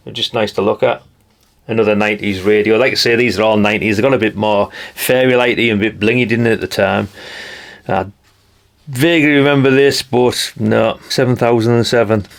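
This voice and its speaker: A man's voice: lowish voice, creaky. A middle-aged man with a North East English accent giving a casual, chatty show-and-tell, rambling along in a nostalgic, down-to-earth way. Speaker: hobbyist video presenter.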